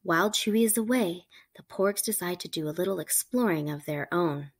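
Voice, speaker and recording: A woman reading a picture book aloud to children, with animated, emphatic storytelling delivery. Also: fairly low pitch, moderate pace, slightly rough. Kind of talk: expressive read-aloud narration for children.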